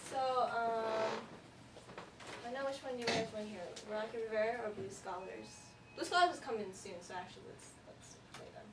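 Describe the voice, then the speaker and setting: A woman's voice: a little high, fairly quick. A woman presenting at a casual info meeting, chatty and full of fillers, with laughing asides. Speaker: student presenter. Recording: echoey room, distant mic, noise.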